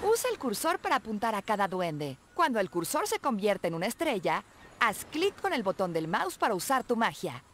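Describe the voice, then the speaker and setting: A woman's voice: mid-range voice, big pitch swings, normal speed, fairly bright tone, a little hiss or hum. A woman giving scripted instructional narration as a guide in a video game.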